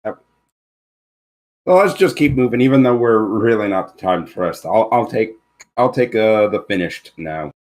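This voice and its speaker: A man's voice: fairly low pitch, expressive, a little slow, a little mellow. A man giving casual feedback in an online meeting, hesitant and a little reluctant as he picks his words. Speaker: participant giving script feedback.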